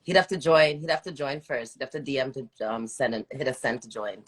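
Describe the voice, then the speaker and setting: A woman's voice: rumbling low, a little fast, crowd noise. A woman hosting a casual live music interview, chatting informally and repeating phrases for emphasis. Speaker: radio show host interviewing a musician.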